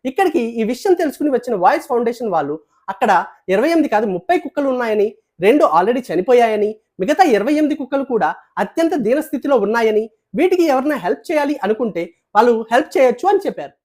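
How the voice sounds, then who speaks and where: A woman presenter narrates scripted news in a high voice. Her pitch moves up and down a lot as she reads. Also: lively intonation, very fast, a little mellow.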